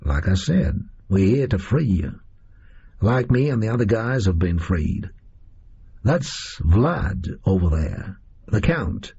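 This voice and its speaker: A male reader voicing a disillusioned, boastful gunman in an audio story, with a high, reedy voice and folksy, slangy delivery. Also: big pitch swings, slow, mellow, slightly rough, muffled recording, heavily acted. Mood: smug menace.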